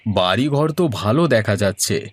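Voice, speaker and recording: A man's voice: lowish voice, animated, normal speed. A man voicing a middle-aged village father in a moral story cartoon, anxious to get his daughter married. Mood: matter-of-fact.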